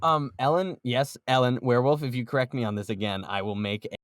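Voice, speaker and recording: Male podcast host, mid-pitched, dry close sound, rambling, joking, argumentative, casual group chat. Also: fairly even intonation, fast, dry recording.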